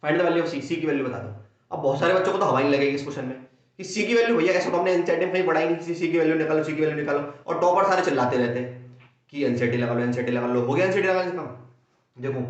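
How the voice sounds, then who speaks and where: Young male maths teacher, fast talking, energetic, sore throat, very echoey room. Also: on the high side. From India. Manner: energetic, acts out students' questions and answers himself. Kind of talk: fast Hindi exam-prep lesson. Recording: echoey room, dull lo-fi sound.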